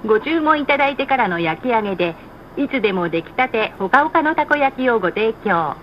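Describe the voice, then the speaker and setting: A woman reading scripted stop announcements on a bus in a measured, formal, polite manner. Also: fairly high pitch, lively intonation. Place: played over speakers inside a moving bus. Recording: muffled, noisy recording inside a bus. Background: bus engine and road noise.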